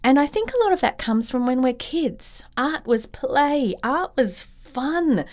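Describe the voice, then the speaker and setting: A woman hosting her own podcast as a creativity coach, talking straight to listeners in an encouraging way, a little croaky from a chest cold. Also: sits high, lively intonation, measured pace. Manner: warm, encouraging, direct to listeners. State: slightly croaky from bronchitis. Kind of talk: solo podcast talk.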